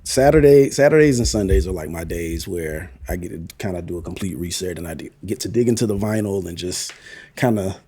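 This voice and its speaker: Low, husky American man chatting. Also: expressive, brisk, warm tone. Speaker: podcast co-host. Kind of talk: casual chat about music.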